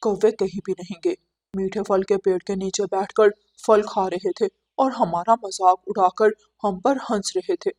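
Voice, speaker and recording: A woman's voice: mid-range voice, fairly even intonation, measured pace, darkish tone, pitched below the performer's own voice, lightly acted. A woman voicing a loyal, anxious male pigeon in a cartoon, earnest and urgent in manner. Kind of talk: cartoon character acting.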